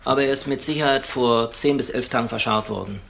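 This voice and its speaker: Gravelly, dry policeman. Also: mid-range voice, fast talker, a bit bright, a little hiss or hum. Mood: factual, official. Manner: official, matter-of-fact. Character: dry, factual male police officer reporting. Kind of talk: German crime radio play acting.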